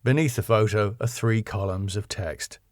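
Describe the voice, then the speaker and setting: A man's voice: fairly low pitch, normal speed, darkish tone, no room sound, studio quality. A man reading his own sitcom script as narrator, with scene headings and stage directions.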